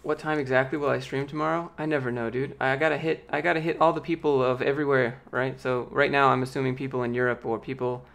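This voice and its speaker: A young man in his late twenties talks in a loose, rambling way, reading viewer questions aloud and answering them as he goes. His voice is light and boyish and now and then squeaks. He sits close to a big studio microphone in a small home office. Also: level tone, fast, vocal fry, few pauses, a bit bright. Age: late 20s. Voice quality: youthful, light voice that sometimes squeaks. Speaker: streamer answering chat. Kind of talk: casual live-stream chat. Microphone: large studio mic with pop filter, close.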